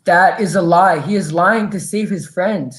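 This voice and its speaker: A woman's voice: on the low side, normal speed, close to an everyday voice. A woman playing a defensive, accusing villain, a corrupt police inspector, in an old-time radio drama. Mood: angry denial.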